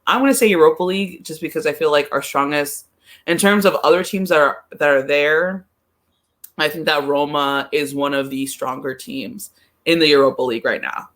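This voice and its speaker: A woman's voice: low voice, moderate pace, a bit bright. A woman in a casual sports chat as a podcast guest, giving her opinions informally and hesitantly, often repeating her phrases. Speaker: podcast guest, soccer pundit.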